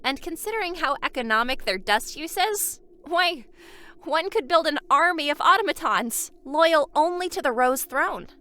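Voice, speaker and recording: A woman's voice: high voice, expressive, moderate pace, sharp tone. A woman voicing a refined, haughty, scholarly young woman in an anime fandub, speaking with formal, precise diction. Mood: thoughtful, grave.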